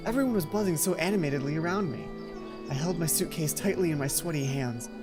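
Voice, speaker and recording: A man with a fairly high voice plays through a visual novel for an audience. He reads the text aloud, stumbles now and then and drops in casual remarks of his own. Music plays clearly behind his voice. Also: lively intonation, quick pace. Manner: stumbles over read text, interjects casual asides. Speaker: gaming video host. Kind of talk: let's play commentary mixed with reading visual novel text aloud.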